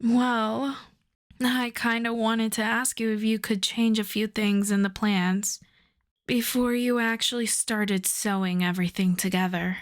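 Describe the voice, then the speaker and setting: A woman's voice: a little high, steady tone, natural voice. A woman playing a wry, flirtatious female supervillain coaxing a favor, in an intimate, conversational ASMR roleplay style. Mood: coaxing, sheepish. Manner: unhurried, teasing, conversational.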